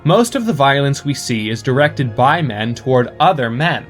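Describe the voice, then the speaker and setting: A man giving prepared opinion commentary as a podcast listener, in an articulate, measured, essay-like way. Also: mid-range voice, animated, soft background music.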